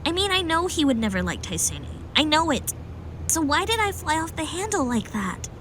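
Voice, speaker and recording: Amateur audio drama: actress playing a conflicted young heroine, hesitant, with a clear hiss on the recording. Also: female voice, high voice, big pitch swings, moderate pace, a bit bright. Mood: regretful.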